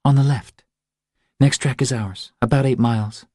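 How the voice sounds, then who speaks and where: A man's voice: medium pitch, steady tone, normal speed, a little mellow, dry recording, studio quality, voice pushed up, natural voice. A man voicing a weary young mother who explains things in short, clipped sentences in an audiobook story. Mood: matter-of-fact.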